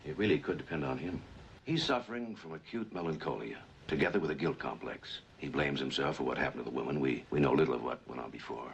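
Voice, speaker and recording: An extremely low voice with faint noise behind it: a sober doctor in a 1950s film. He is measured, clinical and matter-of-fact. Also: dull audio. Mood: grave. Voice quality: very deep, gravelly. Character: sober, clinical doctor giving a grave prognosis. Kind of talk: 1950s Hollywood film acting.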